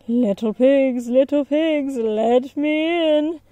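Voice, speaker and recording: Woman putting on a loud, blustering hungry storybook wolf. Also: high-pitched, no echo, pitched above the performer's own voice. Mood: coaxing, demanding. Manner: loud, drawn-out threats and taunts.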